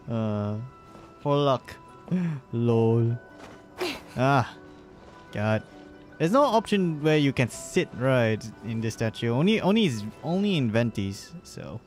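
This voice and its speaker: A man's voice: medium pitch, very animated, takes it slowly, long pauses, faint music behind. A man doing casual livestream commentary over a game, rambling and repeating himself, often chuckling between phrases. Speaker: live streamer.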